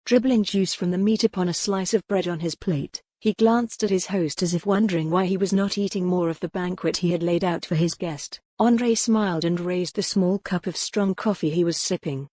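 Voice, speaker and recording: A woman's voice: lowish voice, moderate pace, few pauses, mellow, dry recording. Woman narrating fiction in a dry space. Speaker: audiobook narrator.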